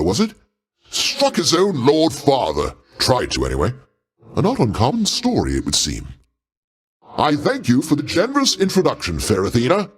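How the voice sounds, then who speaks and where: A male actor playing a pompous, jovial older king of the gods in a video game, grandiose and avuncular with genteel, old-fashioned phrasing. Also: medium pitch. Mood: solemn, portentous, then gracious, proud. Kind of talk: theatrical video game character acting.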